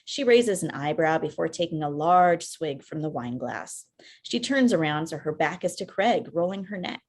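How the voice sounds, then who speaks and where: A woman's voice: low-pitched, lively intonation, normal speed. A woman reading scripted screenplay stage directions as narrator on a video call. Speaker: filmmaker, stage-direction reader.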